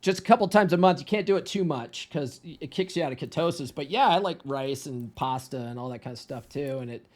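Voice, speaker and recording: Chatty man in his forties, slightly high. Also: lively intonation, quick pace, hardly any pauses. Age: 40s. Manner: chatty storyteller, laughs easily, repeats words when starting. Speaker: guest, men's coach. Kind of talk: casual conversation.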